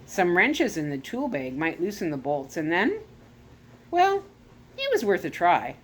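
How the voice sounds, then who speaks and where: Lively woman reading a storybook aloud. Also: fairly low pitch, measured pace, a little hiss or hum. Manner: expressive read-aloud with lively rises on exclamations; occasional self-corrections. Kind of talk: reading a children's chapter book aloud.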